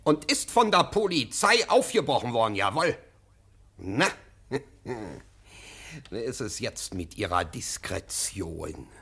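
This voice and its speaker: Imperious investigator, classic German radio drama. Deliberate pace, very animated intonation, sarcastic and blustering. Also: male voice, medium pitch, creaky, breathy edge, exaggerated voice. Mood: smug, needling. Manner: theatrical, emphatic, sarcastic, swinging from muttering to barking. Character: imperious, blustering male investigating official, pedantic and easily outraged. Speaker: actor.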